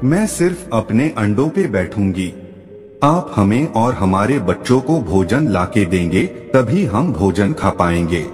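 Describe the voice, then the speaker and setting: Man playing female crane, storybook bird, bossy, commanding, expressive intonation, music underneath. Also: on the low side, a little slow. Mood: pleading, insistent. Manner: commanding, imperious. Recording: long reverb, music underneath.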